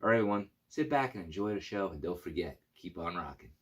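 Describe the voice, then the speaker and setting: A man with a very low voice talks casually, like a host walking viewers through equipment. His delivery is informal and a bit halting. There is some faint noise behind him. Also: animated. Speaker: YouTube channel host. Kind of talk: casual gear overview for guitarists.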